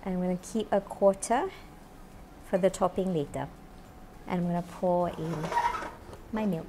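A woman's voice: fairly low pitch, a little slow, noisy background. A woman in her thirties, a chef hosting a cooking show, chatting upbeat to camera with plenty of "you know" as she cooks, wearing a lapel mic in a kitchen studio. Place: home-style kitchen studio, indoors.

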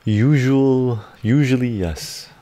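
A middle-aged man with a Canadian accent hosting a livestream, chatting casually in ASMR style in an unhurried, rambling way with frequent "right?" tags. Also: mid-range voice, dark tone. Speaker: livestream host. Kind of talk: casual ASMR chat, answering viewers. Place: small book-lined room at home.

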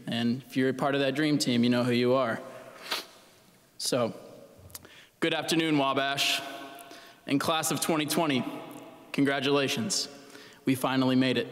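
A man's voice: fairly high pitch, a bit bright. Young man giving a graduation speech. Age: 20s. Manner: earnest, with light jokes and some fillers. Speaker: student commencement speaker. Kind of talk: prepared commencement speech. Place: large hall, speaking at a lectern. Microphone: lectern mic. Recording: long hall reverb.

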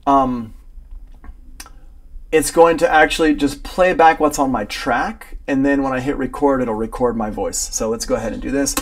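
A man's voice: mid-range voice, normal speed. A man in his forties giving a casual live tutorial as an instructor, explaining in an informal, enthusiastic way. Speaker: audio production instructor. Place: small room at home, indoors.

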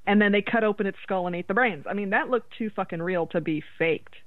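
A woman's voice: mid-range voice, a little fast, dry recording. A woman chats casually as a podcast co-host. She comes through a narrow, phone-like connection, dry and without any room sound. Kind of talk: casual chat. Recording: narrow, tinny phone-like line.

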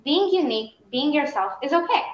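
A woman's voice: high voice, expressive, brisk. A girl student reciting a prepared answer in a classroom. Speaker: student guest. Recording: echoey, dull classroom sound.

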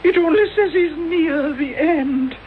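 A woman's voice: high-pitched, slow pace. A woman playing a sentimental, grumbling old housekeeper in a radio drama, with a woeful, fussy manner. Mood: tearful, apologetic. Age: older. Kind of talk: 1980s radio drama acting. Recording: tinny, hissy old radio broadcast.

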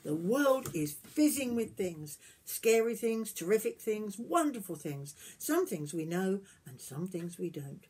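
A woman in her sixties reads a picture book aloud as a granny would to young children, adding gentle questions and asides to them. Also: medium pitch, very animated, a little slow, hardly any pauses, breathy edge. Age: 60s. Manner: gentle questioning, addressing young listeners directly. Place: small wood-panelled garden room, indoors.

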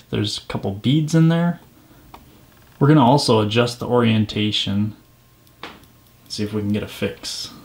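A man hosting a DIY repair tutorial, explaining each step as he goes. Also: medium pitch. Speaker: video host.

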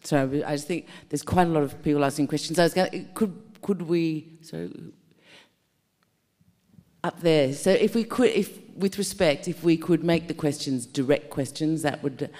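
A woman's voice: deep voice, normal speed, dark tone. A middle-aged woman moderating audience questions, polite but firm, with restarts. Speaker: interviewer, moderator. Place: theatre stage, indoors. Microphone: stand microphone. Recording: echoey hall stage microphone.

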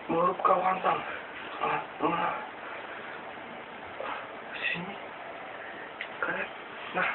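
A man in his thirties from Romania rambles haltingly through a casual, improvised exercise demonstration at home, swearing mildly. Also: high-pitched, flat delivery. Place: small room at home. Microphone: webcam mic at a distance. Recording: tinny noisy webcam audio, distant mic.

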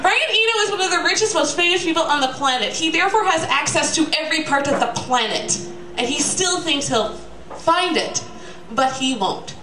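High-pitched, animated young woman doing comedy. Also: a little fast, heavy background noise. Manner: sardonic, emphatic, casual with swearing. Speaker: comedic storyteller at a live reading. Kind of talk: stand-up style comic monologue. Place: small live venue, bistro. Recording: echoey venue with audience, distant mic. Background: audience crowd.

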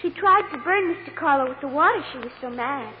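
A woman's voice: sits high, lively intonation, fairly quick. An actress playing an innocent, earnest little American girl giving simple, hesitant testimony in a vintage radio courtroom drama. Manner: simple, hesitant childlike answers. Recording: muffled old radio recording, echoey, faint hiss.

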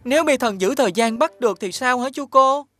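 An actress voicing an eager young schoolchild in a children's cartoon, childlike and wheedling. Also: female voice, high-pitched, animated, brisk, a bit bright, no room sound. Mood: amazed, grateful.

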